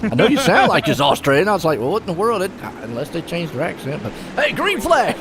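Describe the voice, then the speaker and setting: A man's voice: high voice, wildly swooping pitch, quick pace, crowd noise. A man hosting and commentating a sim race stream, chatty and folksy, joking with his co-host. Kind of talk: casual sim racing commentary. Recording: noisy broadcast mix with engine noise.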